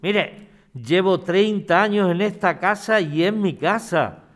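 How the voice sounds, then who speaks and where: A man's voice: sits high, animated, slow pace. A man with an accent from Spain playing a proud, stubborn old pensioner in an audio drama, blustering and exclaiming in formal, old-fashioned address. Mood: outraged.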